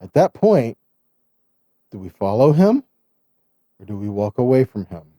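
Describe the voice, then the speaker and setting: Man teaching scripture in a very mellow voice. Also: mid-range voice, very animated, measured pace, dry recording, studio quality. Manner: earnest, exhorting, with rhetorical questions and "right?" check-ins. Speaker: Bible teacher, host of online Torah studies. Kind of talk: informal sermon, scripture teaching to camera.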